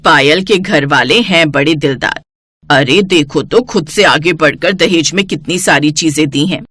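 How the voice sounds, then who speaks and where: A woman voicing an older, greedy mother-in-law in a family story, sharp-tongued and disdainful. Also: deep voice, a little slow, breathy edge, no echo, clean studio sound, pitched below the performer's own voice, heavily acted. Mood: pleased.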